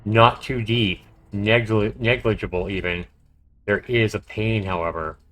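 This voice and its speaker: A man's voice: lowish voice, steady tone, measured pace, fairly bright tone. A man livestreaming a horror visual novel, reading it aloud dramatically and chatting casually about it. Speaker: livestreamer playing and narrating a game.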